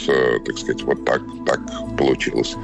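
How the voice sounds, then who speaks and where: A man being interviewed as a writer, answering off the cuff in a halting way, searching for words. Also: a bass voice, level tone, gravelly, over music. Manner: halting, searching for words, frequent hedging phrases.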